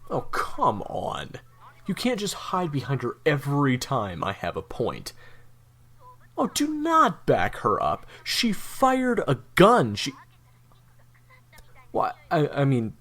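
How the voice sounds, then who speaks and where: Casting: man to play a sarcastic young former assassin, foul-mouthed roommate, slow pace, very lively pitch. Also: heavily acted. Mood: exasperated. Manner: slangy, bantering, foul-mouthed. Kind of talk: audio drama roleplay dialogue.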